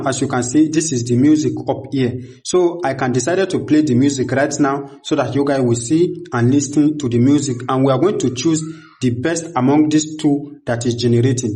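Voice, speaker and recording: A man's voice: medium pitch, warm tone. A man hosting a step-by-step screen tutorial, narrating each click aloud in a repetitive, instructional way. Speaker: tutorial host.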